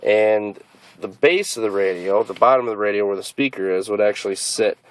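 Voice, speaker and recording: A man with a slightly deep voice talks in a casual, explanatory vlog style. His delivery is halting and unscripted, as he works out his wording while he goes. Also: smooth, low-quality recording. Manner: halting, hesitant, thinking aloud. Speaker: car vlogger. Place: inside a car.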